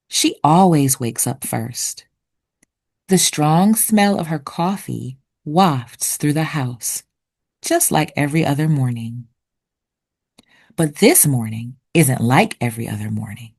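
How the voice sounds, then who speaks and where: A woman narrating for children like a teacher, with deliberate, expressive enunciation. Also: low voice, breathy edge. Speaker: read-aloud host and narrator. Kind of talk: children's picture book read-aloud with vocabulary teaching.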